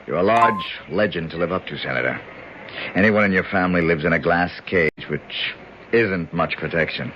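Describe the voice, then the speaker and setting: A man's voice: low voice, moderate pace, a bit bright, slight background noise. An actor playing a tough, wisecracking male hero who narrates his own story in a radio drama, clipped and blunt. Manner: clipped, wisecracking, hard-boiled. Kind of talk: 1970s radio drama acting with first-person narration. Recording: dull lo-fi radio recording.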